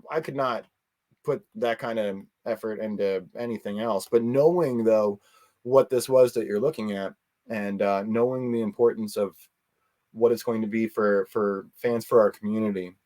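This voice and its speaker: A man talking casually as an interview guest, telling things in a rambling, animated way with quoted asides. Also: on the low side, moderate pace.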